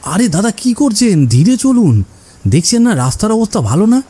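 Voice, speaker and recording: A man's voice: sits high, big pitch swings, moderate pace, dark tone, husky, full character voice. A man playing a cautious, protective village husband in a horror cartoon. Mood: uneasy. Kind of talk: Bengali horror cartoon dubbing.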